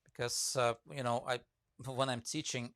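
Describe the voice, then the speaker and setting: A man with a Russian accent talks loosely to his live-stream viewers, full of ums and restarts. His voice sits at an ordinary middle pitch. The sound is dry and close, with no room echo. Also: flat delivery, moderate pace, a bit bright, clean tone. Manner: rambling, many fillers, reads comments aloud. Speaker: woodcarving teacher live-streaming.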